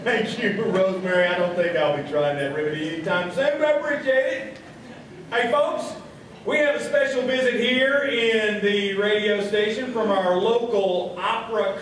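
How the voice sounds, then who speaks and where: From a distance in a very echoey space, a man acts as a small-town station announcer. He has a high voice for a man and presents with genial, folksy courtesy in a comic radio play. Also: fairly even intonation, moderate pace, natural voice. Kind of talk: radio theatre announcing before an audience. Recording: distant, very echoey stage, faint noise.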